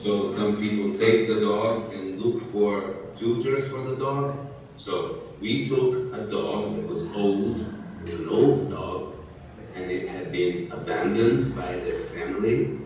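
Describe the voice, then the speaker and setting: A man in his sixties from Brazil, a native Portuguese speaker, talks to a class in a slow, careful way and keeps checking that everyone follows. His voice sits a little low. The sound is very echoey and picked up from a distance, with a faint hiss. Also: darkish tone. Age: 60s. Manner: speaks slowly and clearly, checking understanding with "okay?". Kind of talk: talking to students in a language class.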